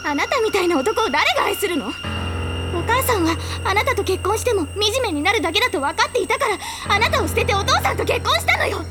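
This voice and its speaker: A fragile teenage girl bent on revenge, in a high voice. She is bitter and emotionally raw, in audio drama style over clear background music. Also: big pitch swings, fast. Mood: mocking, hateful. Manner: intense, venomous, emotionally raw. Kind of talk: Japanese audio drama acting.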